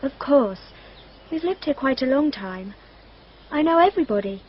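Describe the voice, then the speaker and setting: A woman's voice: high voice, animated, moderate pace. A woman playing a dreamy, self-possessed twelve-year-old girl in a radio drama, candid and matter-of-fact in a precise, childlike way. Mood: forthright. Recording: dull lo-fi radio drama, noisy.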